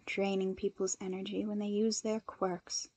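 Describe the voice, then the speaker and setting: A woman voicing a composed, polite young woman hero with a measured, gently dry manner in a story reading. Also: mid-range voice, flat delivery, dry recording, close to an everyday voice. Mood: wry, thoughtful.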